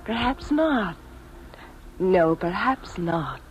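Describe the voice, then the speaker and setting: A woman's voice: fairly low pitch, expressive, extremely slow, heavily acted. An actress playing an alluring, coy manufactured date who is also a polished saleswoman, in a 1950s radio drama. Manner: coy, then smooth sales recital. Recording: noisy vintage 1950s radio recording.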